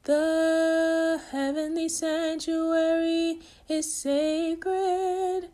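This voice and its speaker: A woman sings a devotional song in a high, soft-toned voice. The phrases are drawn out very slowly, with light musical accompaniment behind her. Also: flat delivery, faint music behind. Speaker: singer.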